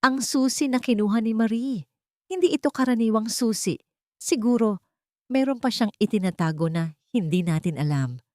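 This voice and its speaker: A woman plays a frail old grandmother in an audio storybook. She speaks gently and with feeling, in a soft, trembling voice that lifts above the narrator's pitch, recorded close and dry. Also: animated, normal speed, dry recording, close to an everyday voice. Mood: wondering, reminiscing. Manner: gentle, emotional. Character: frail, tender old grandmother, grateful and wondering.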